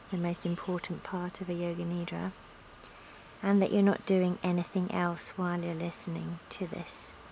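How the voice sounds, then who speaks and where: Slow, soothing woman guiding relaxation outdoors. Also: on the low side, creaky. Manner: calm, soothing, gentle instructional delivery with long pauses. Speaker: yoga nidra instructor. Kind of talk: guided relaxation meditation. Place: outdoors in a garden. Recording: outdoor recording with insect and nature noise. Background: insects, sounds of a sunny garden.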